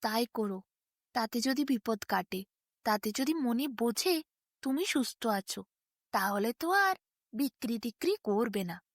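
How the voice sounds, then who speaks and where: Lady doing a sneaky storybook donkey, highish voice, all sad and confiding, fake concern, dry recording. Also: animated, unhurried, dry recording. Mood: soothing. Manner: plaintive, confiding. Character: sly donkey feigning sorrowful concern for his friend.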